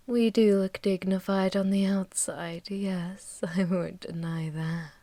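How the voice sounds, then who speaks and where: A woman performs a seductive female vampire warlord in a fantasy ASMR audio roleplay. She speaks slowly and languidly, mostly in an intimate whisper, with teasing menace and possessiveness. The sound is dry, with hardly any room to it. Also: mid-range voice, dark tone, dry recording. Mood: proud, amused.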